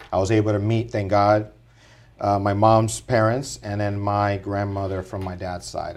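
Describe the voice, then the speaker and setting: A middle-aged man from Puerto Rico talks as a podcast guest, earnest and animated as he recounts his life. His voice sits low for a man. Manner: earnest, animated, rising in energy as he tells his story. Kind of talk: casual interview storytelling.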